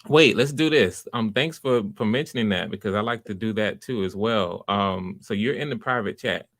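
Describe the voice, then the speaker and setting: A man with a fairly low voice hosts a casual live stream, picked up close and dry. He is supportive and unscripted, often doubling back on his words as he explains things. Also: fairly bright tone, no room sound. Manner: encouraging, supportive; halting, repeats words and backtracks. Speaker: host running live voice auditions.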